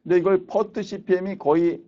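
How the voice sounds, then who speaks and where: Korean guy in his 50s giving a lecture, kinda high voice, repeats key points, muffled lo-fi recording. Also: fairly even intonation, brisk. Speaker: university professor, lecturer. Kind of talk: recorded lecture explaining concepts for exams.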